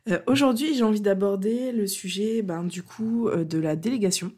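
A woman's voice: medium pitch, normal speed, darkish tone. A French-speaking woman hosting a coaching podcast while she has a cold, talking unscripted in an earnest, hesitant way. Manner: hesitant, many fillers and restarts, earnest. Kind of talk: unscripted solo podcast talk.

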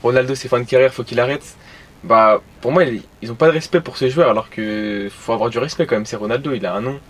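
A man's voice: mid-range voice, fast, husky. A young man, born in Portugal and raised in France, giving casual, slightly hesitant opinions in slangy speech. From born in Portugal, living in France since childhood. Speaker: passer-by guest. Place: live street show in a van. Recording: faint noisy street ambience.

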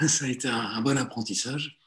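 Forties male therapist, halting and hesitant, restarts often, mid-range voice. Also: mid-range voice, fairly bright tone. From Italy. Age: 40s. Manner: hesitant, many fillers and restarts. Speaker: guest, psychotherapist and coach. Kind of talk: relaxed conversational interview.

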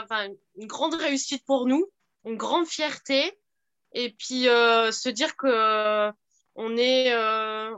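A woman's voice: high voice, pushed and bright, clean tone, dull audio. A woman taking part in a rally, answering interview questions earnestly and hesitantly, with many fillers. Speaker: rally participant.

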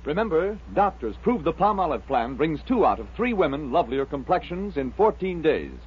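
An American man works as a polished 1940s radio announcer. His voice is high and sweeps widely in pitch as he sells. The vintage recording is muffled and dull. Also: big pitch swings, normal speed, noisy background. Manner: polished salesman delivery. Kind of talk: 1940s radio advertising and sign-off.

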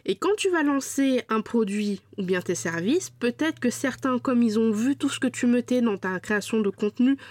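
A woman's voice: a little high, a little mellow, no room sound. French woman with a stuffy cold voice. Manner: chatty, addresses listener directly with fillers. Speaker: podcast host. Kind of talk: solo podcast talk.

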